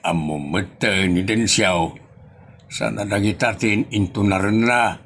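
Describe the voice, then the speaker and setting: A man's voice: lowish voice, unhurried, a bit bright, a little hiss or hum. A man with an Ilocano accent playing a weary, grumbling husband and father in a comedy audio drama.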